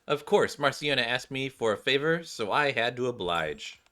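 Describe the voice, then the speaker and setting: A man plays a composed school teacher in a video game scene. The lines come out measured and explanatory, in a bright voice that sits calmly in conversation. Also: medium pitch, close to an everyday voice.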